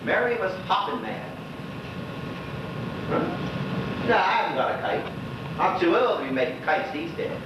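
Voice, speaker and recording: An actor playing a lonely, gossipy old widower in a stage monologue, with a Bermudian accent and a rambling, exclaiming way of talking to unseen listeners. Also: male voice, high-pitched, big pitch swings, normal speed, pauses often. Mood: chatty, nostalgic. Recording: very echoey stage, distant mic, noisy, dull.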